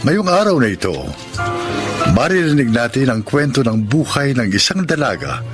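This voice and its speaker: A man's voice: medium pitch, sing-song, normal speed. A man delivering scripted, dramatic narration as a radio drama announcer. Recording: loud music over voice.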